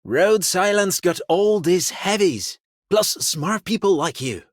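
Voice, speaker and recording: A woman voicing a scrappy, casual young dreamer in a game, with a husky voice and loose boastful delivery. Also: on the low side, lively intonation, takes it slowly. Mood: cocky, sheepish. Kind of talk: game character acting.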